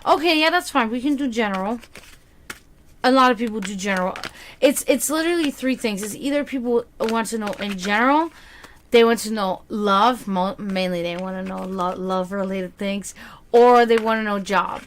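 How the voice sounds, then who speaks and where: A woman's voice: medium pitch, normal speed, a little hiss or hum. A woman whose first language is German, chatting casually on a livestream as a psychic card reader, rambling and answering her viewers. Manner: chatty, rambling, reads chat aloud.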